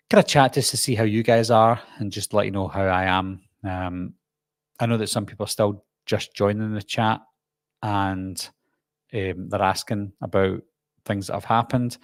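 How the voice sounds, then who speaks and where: A middle-aged man with a Glasgow accent hosts a live stream, reading viewer comments and rambling on with plenty of ums and restarts. His voice sits low with a gravelly edge. The sound is dry and close, with no room around it. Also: dry recording. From Scotland. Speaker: YouTube host.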